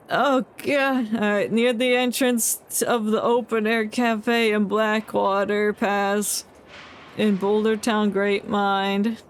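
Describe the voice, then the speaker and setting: Female game streamer, unhurried delivery, playful casual commentary and read-alouds, faint noise behind. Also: mid-range voice, steady tone.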